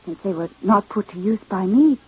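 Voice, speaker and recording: An actress playing a reserved, genteel new widow in old radio drama, speaking in a formal, guarded way. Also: female voice, medium pitch, expressive, moderate pace, slightly rough. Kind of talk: 1940s-50s radio acting. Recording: tinny, phone-like old radio recording.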